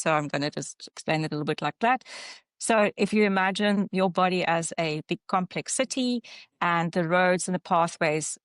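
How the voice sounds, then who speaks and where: A woman with a South African accent, a clinician explaining slides in an online webinar, halting, with fillers and self-corrections. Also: fairly low pitch, dry recording, studio quality.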